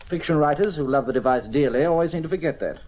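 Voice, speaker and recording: An actress playing a cool, scheming crime boss in an old radio mystery, with a suave, condescending delivery. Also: female voice, deep voice, expressive, fast talker, a little mellow. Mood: scornful, firm. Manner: suave, articulate, condescending, menacing. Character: cool, scheming, commanding criminal ringleader plotting a theft. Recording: noisy old radio recording.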